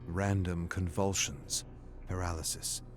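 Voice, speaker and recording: A man reading a scripted story as a dramatic narrator, grave and deliberate. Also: low voice, steady tone. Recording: music playing behind the narration. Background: background music.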